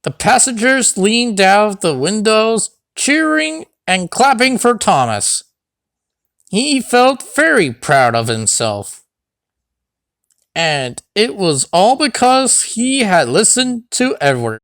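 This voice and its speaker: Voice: medium pitch, big pitch swings, slow, a bit bright, smooth, no room sound, clean studio sound. A storybook narrator reading a scripted children's read-along tale.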